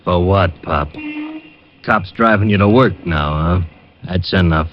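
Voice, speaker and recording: An actor playing a surly, menacing street thug in 1940s radio style, curt and slangy. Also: male voice, deep voice, measured pace. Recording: muffled, noisy vintage radio recording.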